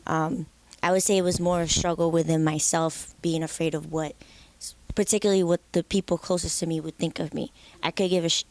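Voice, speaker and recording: Young woman from the dominican republic, kinda low voice, talks candid and thoughtful. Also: fairly even intonation, brisk, a little mellow, no room sound, rough recording. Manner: candid, reflective, with frequent restarts. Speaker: guest musician. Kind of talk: interview conversation.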